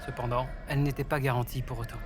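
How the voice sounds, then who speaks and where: Male fantasy narrator over loud music. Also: mid-range voice, flat delivery, fast talker. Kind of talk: scripted fantasy story narration.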